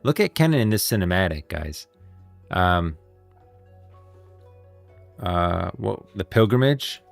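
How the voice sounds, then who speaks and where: A man's voice: low voice, sing-song, takes it slowly, creaky. A man livestreaming casual, emphatic reaction commentary on game lore, often repeating himself. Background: faint music.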